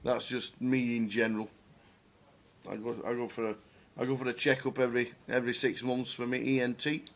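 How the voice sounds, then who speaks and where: A man's voice: mid-range voice, little pitch movement, brisk. A man with a nasal voice, doing chatty, joking commentary on a live poker stream. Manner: chatty, jokey banter.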